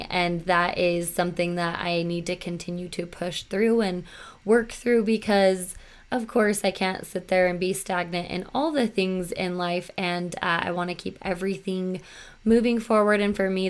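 A woman in her thirties hosting her podcast, slightly hoarse after losing her voice, talking in a chatty, earnest and self-reflective way. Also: on the low side. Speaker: podcast host. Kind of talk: solo podcast talk.

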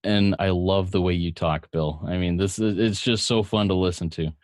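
A man's voice: sits low, steady tone, moderate pace, darkish tone. A man hosting a podcast, reading an earnest scripted intro and then interviewing a guest with encouragement.

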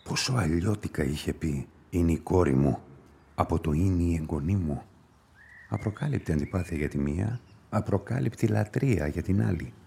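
A man playing an observant police investigator brooding in inner monologue in a radio play, with a gravelly, husky voice. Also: sits low, animated, mellow, slight background noise. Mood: pondering.

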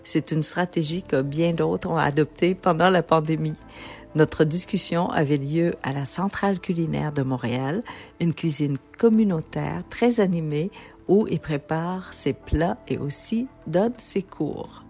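A woman's voice: sits low, a little slow, darkish tone, husky, soft background music. A woman hosting a radio interview, who narrates the links and asks encouraging questions. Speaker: host.

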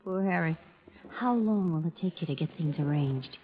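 An actress playing a shrewd, calculating young wife in a 1950s radio drama, cool and deliberate as she bargains. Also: deep voice, expressive. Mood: coolly determined. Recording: muffled old radio recording.

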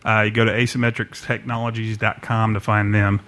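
A man's voice: lowish voice, level tone, brisk, fairly bright tone. A man hosting a podcast, chatting casually, telling stories with plenty of laughs and jokes. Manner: laughs easily, jokey storytelling. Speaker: podcast host. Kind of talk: casual chat.

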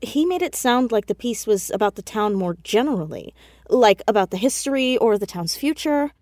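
A woman's voice: on the high side, expressive, moderate pace, darkish tone, no echo, clean studio sound, lightly acted. A woman playing a stubborn, inquisitive teenage girl sleuth in an audio drama, earnest and probing in a casual, argumentative way.

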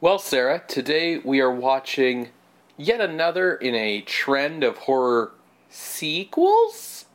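A young man from Canada, co-hosting a podcast in casual chat, a little stuffed up and nasal, talking in a chatty way with quick asides. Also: mid-range voice, huge pitch swings. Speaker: podcast co-host.

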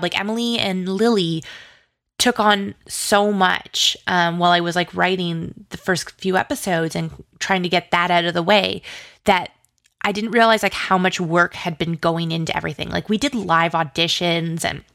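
Casting: woman, creaky-voiced, enthusiastic rambler in a casual interview, very dry close sound. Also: fairly low pitch, moderate pace, a bit bright, dry recording. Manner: chatty, rambling, heavy use of "like" and "you know"; effusive, enthusiastic. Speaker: interview guest, audio drama writer and producer.

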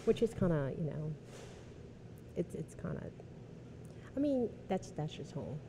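A woman in her thirties from Vietnam, answering interview questions in a soft, hesitant way with many pauses. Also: fairly low pitch, big pitch swings. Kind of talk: casual interview talk. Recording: background noise.

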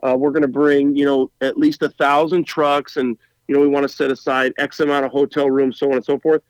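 A man's voice: mid-range voice, level tone, a little fast, no room sound, poor audio. A man from Montana chatting casually as a podcast guest, rambling with enthusiasm and often repeating words as he starts a thought. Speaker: guest, firefighter and truck podcaster.

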